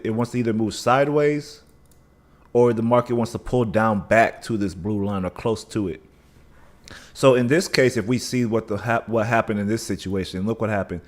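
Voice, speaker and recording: Man, scratchy and dry-mouthed, low voice, loose live-stream chart talk with restarts. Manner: thinking aloud, restarts, hedging. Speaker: livestream trading host. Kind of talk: casual live chart analysis.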